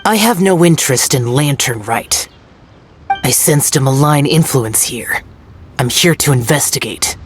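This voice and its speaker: An actor voicing a stern, aloof young immortal guardian in a video game, solemn and formal. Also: male voice, mid-range voice, lively intonation, a little slow, music in the background, close to an everyday voice. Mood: stern, brooding. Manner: formal, terse, solemn, archaic phrasing.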